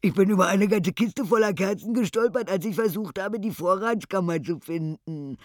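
A man voicing a loud, jolly castle monster that booms and giggles. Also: moderate pace, no room sound, clean studio sound, close to an everyday voice. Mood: boisterous.

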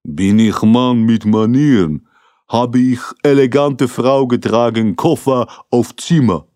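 A man voicing a terse, gruff foreign man who speaks broken German without articles. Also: deep voice, animated, drawn-out words, a little mellow, dry recording, studio quality, pitched above the performer's own voice, exaggerated voice.

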